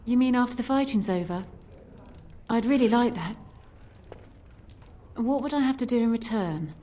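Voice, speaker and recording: A woman's voice: on the high side, a little mellow, natural voice. An actress playing a tired young barmaid who is guarded and resentful of her boss. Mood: bitter. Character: weary young barmaid at a tavern. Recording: game audio over music. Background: game music.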